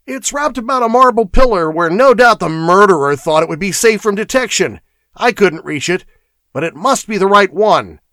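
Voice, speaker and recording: A man voicing an excitable, insistent male guardsman in a fantasy audiobook. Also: high-pitched, moderate pace, no echo, voice pushed up. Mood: eager. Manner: agitated, insistent.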